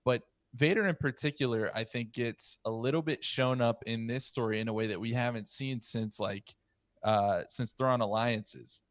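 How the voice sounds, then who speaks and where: A man in his thirties moderating a casual discussion as host, thoughtful and a little halting. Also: medium pitch, no room sound. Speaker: podcast host. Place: home room on a group video call. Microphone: close podcast mic on a boom arm.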